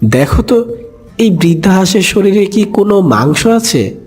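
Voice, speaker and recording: Man voicing an earnest, lively cartoon duckling hero. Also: sits high, unhurried, breathy edge, soft background music, pitched above the performer's own voice, full character voice. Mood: coaxing, clever. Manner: earnest, polite, coaxing. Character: kind, brave, clever young duckling hero. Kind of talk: cartoon animal fable acting.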